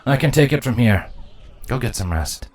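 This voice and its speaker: A man voicing a good-natured, loyal pony guard captain with a warm, easygoing manner in a story reading. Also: mid-range voice, animated, normal speed, slightly rough, voice pushed up. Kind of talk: acted fan fiction dialogue.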